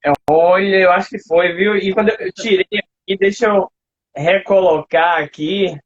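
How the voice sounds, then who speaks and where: A man with a notably high voice and a Bahian Brazilian accent hosts an informal online chat. He speaks colloquially, and the livestream audio is dull and lo-fi. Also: steady tone, moderate pace. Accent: Bahian Brazilian Portuguese. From Salvador, Bahia, Brazil. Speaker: host, literature teacher. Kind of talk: casual live chat. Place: online video live, remote call.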